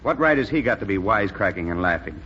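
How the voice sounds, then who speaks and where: An actor playing an irritable, skeptical American fighter pilot, blunt and sarcastic, in a 1940s radio drama style. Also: male voice, on the low side, animated, quick pace, bright, forceful tone. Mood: sarcastic, indignant. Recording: muffled, noisy vintage radio recording.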